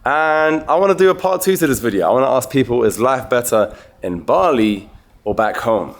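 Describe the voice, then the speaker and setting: A man hosting a vlog and chatting with people on the street in a relaxed, agreeable way. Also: mid-range voice, moderate pace. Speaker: street interviewer and vlog host. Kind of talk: casual vlog narration and street interviews.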